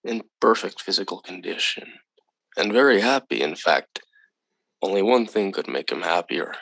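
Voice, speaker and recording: A calm, superior visitor from another planet in a radio drama. The voice is very low, with formal, measured, condescending delivery. The sound is close and dry. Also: male voice, creaky, no room sound, full character voice, poor audio. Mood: composed, formal. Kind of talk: old-time radio drama acting.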